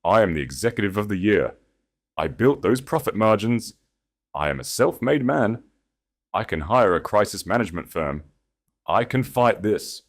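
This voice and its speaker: A man's voice: lowish voice, sing-song, normal speed, pitched above the performer's own voice. A man voicing a proud, desperate executive in an audiobook, with frantic, breathless, insistent delivery. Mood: desperate self-assurance. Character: proud, desperate disgraced male executive, panicking and pleading.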